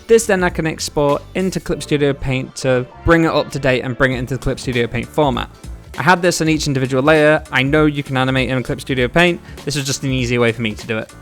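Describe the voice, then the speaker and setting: A man's voice: fairly high pitch, a bit bright. A man narrating a casual devlog, explaining things step by step in an informal, chatty way. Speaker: solo game developer and devlog host.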